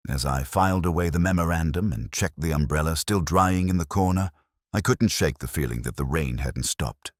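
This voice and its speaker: Male audiobook narrator for hardboiled mystery: husky, dry close sound, dramatic, wry first-person delivery. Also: low-pitched, no echo. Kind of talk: scripted first-person detective story narration.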